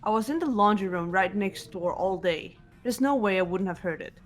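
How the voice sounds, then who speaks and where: A woman's voice: medium pitch, expressive, moderate pace, soft background music, lightly acted. A woman playing a composed, serious young female student detective, matter-of-fact, in an amateur game dub. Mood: serious, calm. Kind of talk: amateur fully voiced game playthrough.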